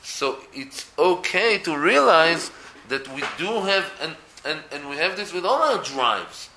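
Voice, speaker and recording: Israeli guy talking torah casually, gravelly bright voice, swings up and down a lot, echoey room. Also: a little high, a little slow, a little hiss or hum. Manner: animated, many restarts, rising to emphatic peaks. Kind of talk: casual religious talk.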